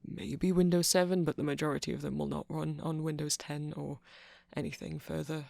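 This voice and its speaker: A man playing a nerdy vampire in an ASMR roleplay, rambling through explanations in a hesitant, meandering way with a creaky voice. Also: fairly high pitch, level tone, a little fast, darkish tone, dry recording. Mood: earnest, nerdy. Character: nerdy male vampire, rambling tech enthusiast, gentle boyfriend. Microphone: close mic, dry.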